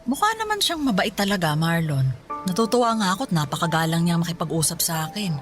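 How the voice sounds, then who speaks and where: A woman playing a gentle, teasing middle-aged mother in a naturalistic radio drama. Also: lowish voice, very animated, fast, faint music behind. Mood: fond, teasing.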